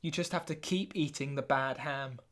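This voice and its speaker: A man with a British accent playing an earnest, easily hurt fan podcaster, talking hesitantly as co-host of a deadpan comedy show. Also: mid-range voice, moderate pace, natural voice.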